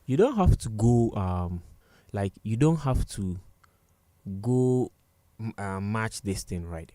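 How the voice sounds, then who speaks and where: A young man from Ghana, a guest in a radio interview, talking in a halting way with frequent restarts. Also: on the low side, lively intonation, mellow, dry recording. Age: young adult. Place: radio studio.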